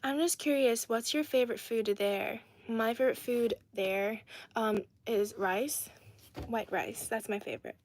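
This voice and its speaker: A woman's voice: on the high side, a little slow, dry recording. Giggly young woman chatting on a livestream. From Ireland. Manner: chatty and giggly, reading comments aloud and answering. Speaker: livestream host reading viewer comments. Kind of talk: casual livestream chat. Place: indoor home setting in Adelaide.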